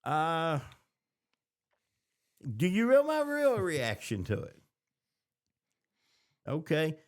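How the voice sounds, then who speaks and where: A man's voice: fairly high pitch, huge pitch swings, very slow. An American man hosting a podcast, chatting casually about TV shows in reaction to them. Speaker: podcast host.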